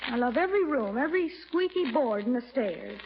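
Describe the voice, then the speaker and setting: A woman's voice: high voice, animated, a little fast. An actress playing an earnest, outspoken niece in her twenties, in 1940s radio comedy style. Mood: upset. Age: 20s. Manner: earnest, emphatic. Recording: muffled, noisy old radio recording.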